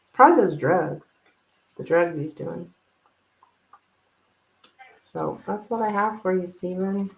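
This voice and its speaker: A woman hosting a casual live tarot reading, speaking informally and haltingly as if thinking aloud. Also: on the low side, vocal fry. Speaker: tarot reader, live stream host. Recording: roomy, echoing live stream sound.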